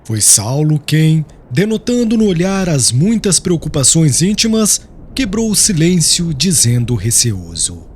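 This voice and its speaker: A man narrating an audiobook with a measured, expressive reading. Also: a little high, a little hiss or hum. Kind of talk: scripted storybook narration.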